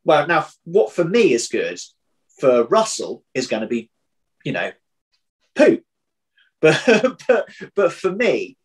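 A man's voice: fairly high pitch, huge pitch swings, takes it slowly, long pauses. A man in his thirties talking casually and reflectively in an interview, rephrasing as he thinks aloud on a video call. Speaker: audio drama writer, interview guest. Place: home room, indoors. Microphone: video call, computer at arm's length.

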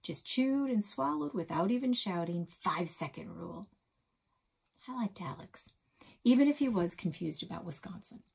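A middle-aged woman, a librarian, reading a children's chapter book aloud in a calm storytelling voice, recorded on a webcam in a small office. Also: mid-range voice. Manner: calm storytelling, soft breathy passages.

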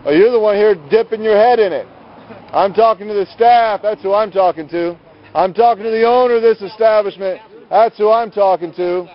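A male street preacher with a very high-pitched, combative delivery. He scolds and argues loudly in a confrontational preaching style. The audio is a dull, lo-fi outdoor camera recording. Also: fairly quick. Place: outdoors at night on a city sidewalk beside a road. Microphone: handheld camera. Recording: muffled lo-fi phone camera audio.

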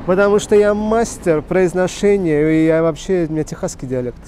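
A man's voice: high-pitched, moderate pace, dark tone, slight background noise. A man in his thirties hosting a casual street quiz, joking and mock-serious, rambling with crude slang, into a handheld microphone outdoors. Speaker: street quiz show host. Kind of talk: casual comic street interview. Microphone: handheld reporter microphone.

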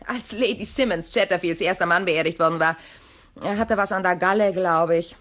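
An actress in a radio play playing an imperious middle-aged widow, genteel, measured and coolly sardonic. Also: female voice, on the low side, a bit bright, natural voice. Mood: cool reproach.

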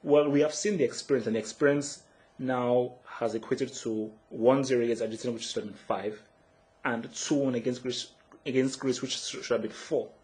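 A man's voice: medium pitch, low-quality recording. A young man from Nigeria giving a frustrated, emphatic solo football analysis, often restarting his words as he vents. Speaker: football blogger. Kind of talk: solo match analysis rant.

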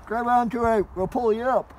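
A man's voice: very high voice, steady tone, normal speed, slight background noise. A man on a winter fishing outing outdoors, joking and laughing with his friend, heard from a companion's phone. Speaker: friend joining the fishing trip. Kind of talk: casual chat. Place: outdoors on a frozen lake.

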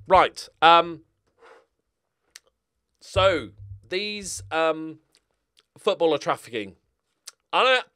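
A middle-aged man hosts a gaming video in a fairly high voice. His pitch moves around a great deal as he rambles casually through the play. The delivery is wry and jokey, often at his own expense. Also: a bit bright. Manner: wry, self-mocking, jokey. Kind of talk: casual rambling game commentary.

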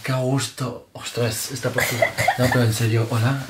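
A man's voice: mid-range voice, flat delivery, normal speed. A young man with a Castilian Spanish accent, chatting casually and playfully with exclamations. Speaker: camera helper. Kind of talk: casual chat. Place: bedroom. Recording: very noisy room, off-camera.

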